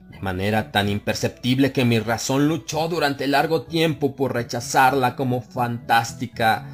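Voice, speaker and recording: Man, audiobook narrator, dark confessional horror reading, slow and brooding, faint music underneath. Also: medium pitch. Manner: slow, brooding confessional delivery that rises to anguished outcry.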